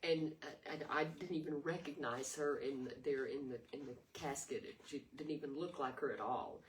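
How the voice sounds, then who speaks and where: An older woman with a low, raspy voice preaches an earnest, homespun devotion, often pausing and restarting her thoughts. She sits a little away from the microphone, and a faint hiss runs under her words. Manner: earnest, rambling with restarts and fillers. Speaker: devotional video host. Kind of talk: informal devotional sermon. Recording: distant mic with faint noise.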